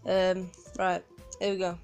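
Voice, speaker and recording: A woman's voice: lowish voice, monotone, unhurried, a little mellow, lo-fi sound, faint music behind. A woman with a Yorkshire accent gives a rambling, casual walkthrough of a game from home, full of ums and restarts. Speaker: gaming guide creator.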